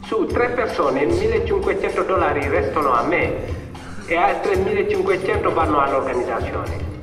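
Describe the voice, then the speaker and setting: High-voiced, insistent smuggler in echoey hall. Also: male voice, lively intonation, normal speed. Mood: brisk, assured. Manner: businesslike, insistent, repeats himself for emphasis. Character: brisk, self-assured male people smuggler pitching desert trips. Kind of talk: theatrical stage monologue. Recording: echoey hall from far off, music behind. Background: music.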